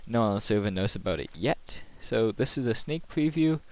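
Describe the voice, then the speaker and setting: A man's voice: fairly low pitch, expressive, a little fast, darkish tone, dry recording. A man casually talking through his builds and play as a gaming video host, in a rambling commentary.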